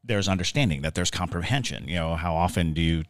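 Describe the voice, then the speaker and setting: A man co-hosting a podcast, explaining his ideas in casual talk with deliberate, thoughtful phrasing. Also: deep voice, expressive. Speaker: podcast co-host.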